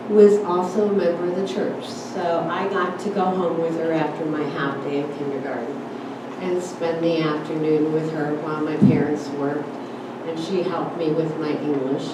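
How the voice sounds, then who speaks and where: An older woman born in Germany, telling her family's immigration story at a church forum in a conversational way, with many "you know"s and "uh"s. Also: low voice. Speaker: guest speaker at church forum. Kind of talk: personal storytelling talk. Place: church hall. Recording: roomy hall, distant mic, background noise.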